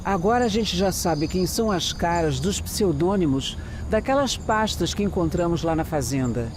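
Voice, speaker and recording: An actress plays a sharp, wary female detective in a radio play. She explains her deductions with measured confidence, over clearly noisy ambience with crickets. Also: fairly low pitch, lively intonation, natural voice. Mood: wary, assured. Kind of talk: audio drama dialogue.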